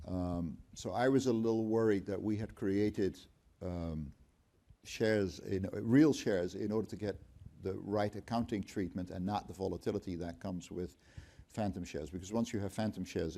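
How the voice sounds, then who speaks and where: Man, mellow measured storyteller. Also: sits low, fairly even intonation. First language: Dutch. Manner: measured, explanatory, with dry humor and frequent ums. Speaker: company CFO, guest speaker. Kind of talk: onstage conversation. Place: auditorium stage before an audience.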